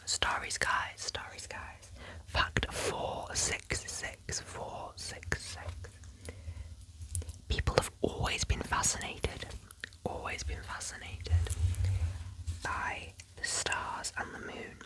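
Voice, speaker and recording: A woman whispering a book of facts aloud for ASMR, slowly repeating words, with chatty, lightly sarcastic asides and a sniffly nose from a cold or hay fever. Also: poor audio. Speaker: ASMR creator.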